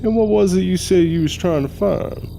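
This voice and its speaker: An actor playing a folksy Southern mortician and spirit medium in an audio drama, courteous and hesitant with drawn-out phrasing. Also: male voice, high-pitched, vocal fry, dark tone. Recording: music under the voice.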